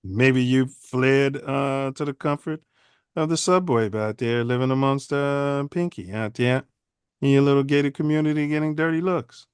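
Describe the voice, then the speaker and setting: A man hosts a casual podcast, rambling along in loose slang. His voice is smooth and clear. The sound is dry and close. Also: mid-range voice, moderate pace, no room sound. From Chicago. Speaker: podcast host. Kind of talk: casual livestream podcast chat.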